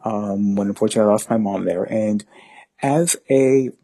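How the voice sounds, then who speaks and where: Low dark-voiced man in his fifties. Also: moderate pace, no echo. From New York, family from Honduras. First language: Spanish. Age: 50s. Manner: rambling, many fillers and restarts. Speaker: guest. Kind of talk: casual interview conversation.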